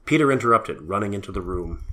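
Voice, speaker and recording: A man narrates a story from a script. His voice sits fairly low, and he reads prose descriptions in a storybook style. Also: animated, fast, pushed and bright.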